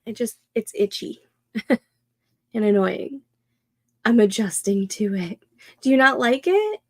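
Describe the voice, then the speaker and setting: A woman in her thirties hosting a casual co-working stream, chatting slowly and a little nasally as she works through her planner. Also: on the high side, sing-song, no room sound, studio quality. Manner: slow, chatty, talks to herself while setting up a planner. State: congested, a bit nasal. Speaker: co-working livestream host. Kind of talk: casual chat while working.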